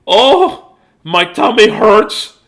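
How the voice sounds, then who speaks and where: Man playing a loud, hearty construction worker. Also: fairly high pitch, moderate pace, vocal fry. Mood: blustering.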